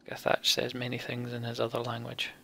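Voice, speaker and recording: A man voicing a wry, learned old horned giant from a game, explaining things in a dry, measured way. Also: mid-range voice, monotone, vocal fry, bright, forceful tone, a little hiss or hum. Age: older.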